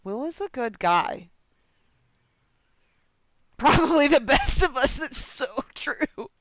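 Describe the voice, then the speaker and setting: A woman gives casual, expressive commentary as she streams a game, slangy and full of swearing. She speaks slowly with a very varied pitch. The sound is close, dry and slightly dull. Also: dry recording. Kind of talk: casual gameplay reaction commentary.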